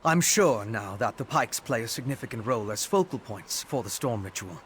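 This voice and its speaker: An actor playing an earnest, inquisitive scholar in a fantasy video game, speaking in a measured, articulate, analytical way. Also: male voice, medium pitch, lively intonation, a bit bright, slight background noise, lightly acted. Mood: intrigued, analytical. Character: earnest, inquisitive male scholar investigating dark rituals.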